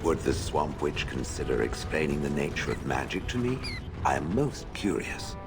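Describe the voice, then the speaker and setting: Super deep gravelly golem from a video game, snooty n sardonic, swingy delivery, music behind. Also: male voice, expressive, normal speed. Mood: puzzled, haughty. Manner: formal, dry, detached phrasing. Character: ancient sardonic stone golem, haughty and curious. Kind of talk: video game character acting.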